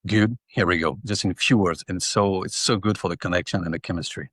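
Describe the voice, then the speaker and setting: A man with a very low voice answers in English that is not his first language. He hesitates and repeats words as he reaches for phrasing. The sound is dry and close. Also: fairly bright tone, dry recording. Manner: halting, repeats words while searching for English. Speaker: actor and interview guest. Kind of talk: casual interview answers.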